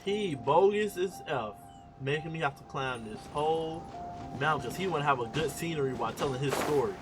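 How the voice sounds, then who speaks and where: A man's voice: a little high, lively intonation, brisk. Informal man commentating over game music. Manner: informal, slangy. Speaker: gaming reaction streamer. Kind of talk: casual playthrough commentary, reading dialogue aloud.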